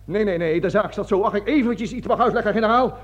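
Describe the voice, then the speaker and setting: A man's voice: high-pitched, fast talker. A man acting a panicking, cowardly, self-justifying army colonel in a radio drama, blustering, stammering and pleading as he repeats himself. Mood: flustered pleading. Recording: dull lo-fi old radio recording.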